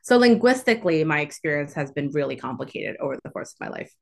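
A woman's voice: low-pitched, very animated, fast, creaky. A young adult woman from Southwest Houston, a podcast guest, talking reflectively in casual chat with many "like" fillers; her first language was Chinese. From Southwest Houston, Texas.

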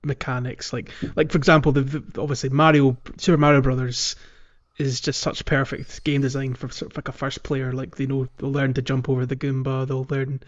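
A man's voice: mid-range voice, flat delivery. Muffled man chatting casually about games. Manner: halting, thinking aloud. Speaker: podcast co-host. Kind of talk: casual video game discussion.

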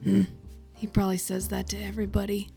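A woman's voice: medium pitch, completely flat delivery, fast talker, creaky, dark tone, faint music behind, close to an everyday voice. A woman voicing a wistful, musing frog puppet. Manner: musing, dramatic monologue delivery. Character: wistful frog puppet talking himself through doubts.

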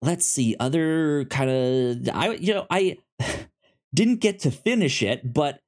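Male podcast co-host, halting and stammering, repeated syllables, very animated intonation, casual review chat. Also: a little high.

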